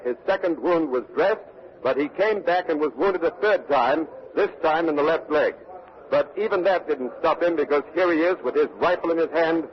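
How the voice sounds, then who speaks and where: A man's voice: on the high side, close to an everyday voice. An actor playing an earnest male war correspondent, formal and urgent, in a 1940s radio news dramatization. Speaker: radio reporter and interviewer. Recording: dull vintage radio broadcast recording.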